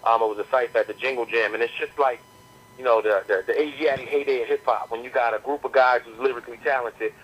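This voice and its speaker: A man's voice: mid-range voice, steady tone, quick pace, a bit bright. A man from the southern United States, a rapper, talking casually and slangily as a phone interview guest, often repeating words as he builds a point. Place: on a landline phone call. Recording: noisy landline phone call.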